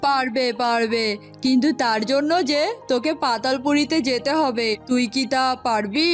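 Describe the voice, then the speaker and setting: Woman voicing cartoon forest mystic, high pitch, wise, kindly, consoling, instructive, background music. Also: normal speed, lightly acted. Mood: instructing. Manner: soothing, instructive. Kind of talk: Bengali children's cartoon voice acting.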